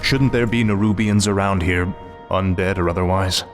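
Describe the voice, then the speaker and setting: A low, cold death knight, played by a man, arrogant and ruthless. He is impatient and sardonic. A game score plays beneath him. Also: fairly even intonation, normal speed, close to an everyday voice. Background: music.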